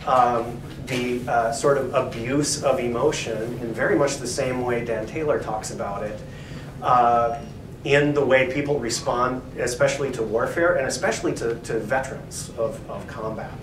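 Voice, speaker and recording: A male professor giving a casual, thoughtful talk at a library event, pausing often with fillers. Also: mid-range voice. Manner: thoughtful, hesitant, many fillers. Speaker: professor, co-editor. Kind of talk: conversational academic talk. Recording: echoey room, distant mic, background noise.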